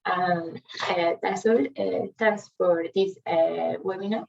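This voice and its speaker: A young woman in her twenties introduces herself shyly, with hesitant pauses. She speaks slowly in an ordinary middle pitch. Her call audio sounds muffled. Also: steady tone, creaky, darkish tone. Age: 20s. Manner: shy, giggly, hesitant. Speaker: social worker, volunteer.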